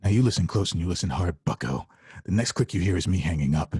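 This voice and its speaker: A man's voice: deep voice, expressive, fast talker, warm tone, slightly rough, dry recording, studio quality, exaggerated voice. A man playing a menacing tough-guy action star from a crummy thriller, in a comedy table read.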